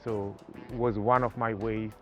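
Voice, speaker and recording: A man from Senegal with a Senegalese accent, talking haltingly about his art in a documentary interview, with many pauses and fillers. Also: lowish voice, flat delivery, fairly bright tone, lo-fi sound, soft background music. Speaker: artist.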